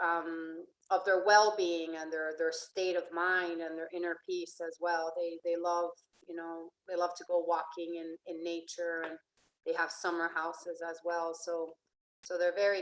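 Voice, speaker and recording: Woman presenter, low-ish voice, halting and filler-heavy, soft trailing endings. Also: rough recording. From Finland. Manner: hesitant, many fillers and restarts; trails off softly at phrase ends. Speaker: life and relationship coach. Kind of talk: slideshow presentation.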